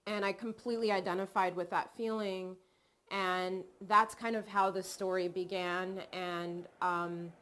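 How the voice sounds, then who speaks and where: A woman from Cameroon, a film director, talking reflectively in an interview with many pauses and restarts. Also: mid-range voice, steady tone, a bit bright. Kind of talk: video call interview.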